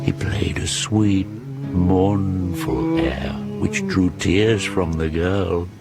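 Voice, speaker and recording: An actor playing an articulate, sorrowful outcast monster with a gravelly voice, telling his tale in formal archaic speech in a radio drama. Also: sits low, very animated, very slow, exaggerated voice. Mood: hushed, mournful. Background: music.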